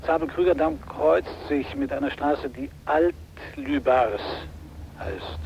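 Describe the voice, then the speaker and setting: An actor playing a weary, halting businessman who reports over a telephone in a radio play. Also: mid-range voice, gravelly, a little mellow. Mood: tense, subdued. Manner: hesitant, halting. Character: weary, hesitant businessman passing on ransom news by phone. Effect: telephone filter. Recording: muffled noisy phone line.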